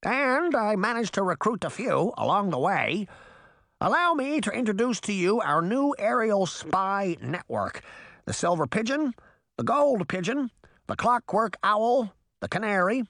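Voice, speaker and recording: Storybook crow, female, worn out and sardonic, squawking high performed by a male narrator. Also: very animated, unhurried, a bit bright, no room sound, studio quality, heavily acted. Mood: weary, businesslike. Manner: sarcastic, squawking. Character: exhausted, wry female crow, a widow.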